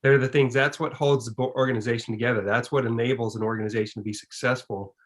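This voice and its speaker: A man's voice: mid-range voice, fairly even intonation, quick pace. A man speaking as a guest in an online presentation and Q and A, explaining in an emphatic, folksy way. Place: online video call.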